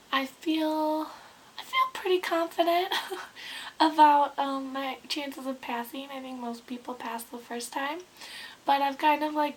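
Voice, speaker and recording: A woman in her twenties with a hoarse, sick voice, chatting casually to camera in a rambling way with lots of ums. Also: high voice, a little hiss or hum, low-quality recording. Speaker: beauty vlogger.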